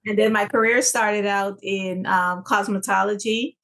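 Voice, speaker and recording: A woman's voice: medium pitch, fairly even intonation, measured pace, a bit bright, poor audio. A woman from Guyana, a guest on an interview show, telling her story in a chatty, loose way. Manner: chatty, many ums and you-knows, laughs easily. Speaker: guest, legacy coach. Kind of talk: casual interview.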